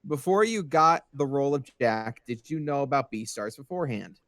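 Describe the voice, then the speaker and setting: Mid-pitched man co-hosting, dry sound. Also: normal speed, fairly bright tone, no echo. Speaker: podcast co-host. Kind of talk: casual chat, reading listener questions.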